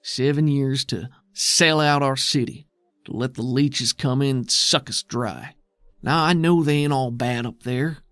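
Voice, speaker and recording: A man playing a bitter, worried, steadfast man defending his underground city in a tabletop game, with gruff folksy speech. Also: medium pitch, fairly even intonation, unhurried, a bit bright, dry recording, studio quality, close to an everyday voice. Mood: bitter, indignant.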